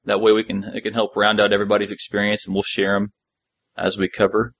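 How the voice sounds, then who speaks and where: A man with a fairly low-pitched voice co-hosts a podcast. He talks casually and informally, wandering through his thoughts in a jokey, rambling way. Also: monotone, fast, a bit bright. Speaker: podcast co-host. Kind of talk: casual chat about an audio drama.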